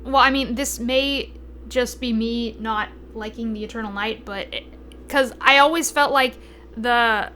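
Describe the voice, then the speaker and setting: A woman's voice: fairly high pitch, sharp tone, slight background noise. A woman hosting a podcast in casual chat, informal and opinionated, thinking aloud as she goes. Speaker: podcast host.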